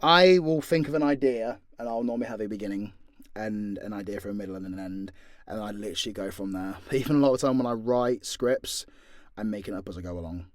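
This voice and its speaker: A man's voice: lowish voice, fast, no echo, clean studio sound. A British man answering fan questions in a casual, unscripted way, blunt and informal with plenty of swearing. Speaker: audio drama creator and voice actor answering fan questions.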